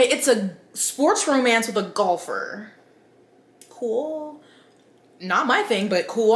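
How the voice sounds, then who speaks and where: A woman's voice: mid-range voice, a bit bright. Wry woman vlogger in an echoey room. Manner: wry, incredulous, conversational asides. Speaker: book commentary vlogger. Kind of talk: casual chatty opinion commentary.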